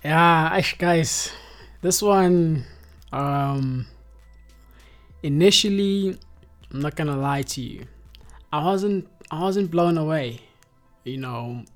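A man's voice: on the high side, takes it slowly, long pauses, soft background music. A man in his twenties from South Africa, hosting a casual podcast chat and rambling easily with lots of fillers. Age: 20s. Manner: relaxed, rambling with many fillers. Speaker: podcast host.

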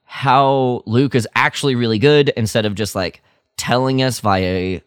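A man's voice: medium pitch, a little slow, clear, resonant tone, no room sound, clean studio sound. A man co-hosting a podcast in casual fan discussion, explaining things with plenty of "like". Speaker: podcast co-host.

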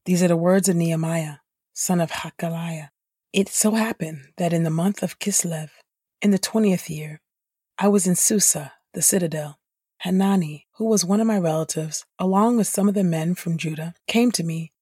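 A woman reading scripture aloud as the host of an audio Bible podcast, with clearly articulated delivery. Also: fairly low pitch, warm tone, no room sound, studio quality.

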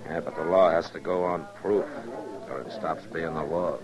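An actor playing a steady, laconic frontier marshal in a 1950s radio western, speaking in a dry, understated way. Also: male voice, a bass voice, normal speed. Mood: firm, measured. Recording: muffled old radio recording, noisy crowd.

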